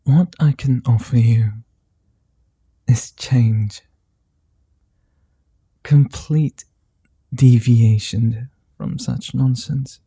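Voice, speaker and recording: A man voicing a flirty, tender male vampire in an ASMR roleplay, speaking slowly, softly and formally, often in whispers, close to the mic. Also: mid-range voice, steady tone, husky, dry recording, exaggerated voice. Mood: tender whispering. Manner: slow, soft and often whispered; old-fashioned formal speech.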